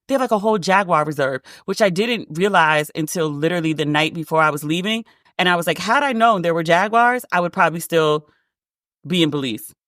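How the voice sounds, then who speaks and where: A woman with a deep voice hosting a casual solo podcast, telling a story and acting out the dialogue, with "like" all through her speech. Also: a bit bright, no echo, clean studio sound. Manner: chatty, heavy use of "like", acts out quoted dialogue. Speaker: podcast host.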